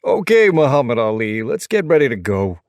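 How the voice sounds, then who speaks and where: A man's voice: mid-range voice, very animated, moderate pace, no echo, studio quality, pitched above the performer's own voice. A man voicing a joking biker gang member in an audiobook, with sardonic, bantering delivery. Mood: mocking.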